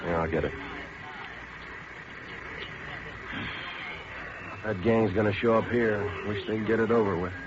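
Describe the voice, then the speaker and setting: Male actor as terse radio detective: very low, quick, flat matter-of-fact delivery, vintage procedural drama. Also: background noise, close to an everyday voice. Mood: weary, terse. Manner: clipped, deadpan, matter-of-fact. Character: terse, weary male police detective narrating his case. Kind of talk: 1950s police procedural radio acting. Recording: dull vintage radio recording, music behind.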